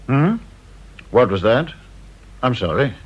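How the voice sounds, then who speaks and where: An actor playing a needling senior British official in a radio drama, dry, sardonic and mock-polite. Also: male voice, mid-range voice, big pitch swings, takes it slowly, breathy edge, exaggerated voice. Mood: dry, detached. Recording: dull old radio recording.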